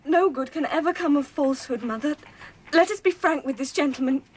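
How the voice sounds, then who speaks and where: A woman acting as an upright young Victorian daughter in a radio play. Her voice is high, formal and earnest, pleading for honesty, with faint noise around it. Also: brisk, darkish tone, close to an everyday voice. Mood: earnest, resolute.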